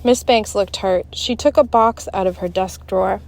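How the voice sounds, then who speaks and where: A woman reading a children's book aloud in an expressive, emphatic storytelling style. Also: medium pitch, normal speed, creaky. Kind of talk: read-aloud story narration.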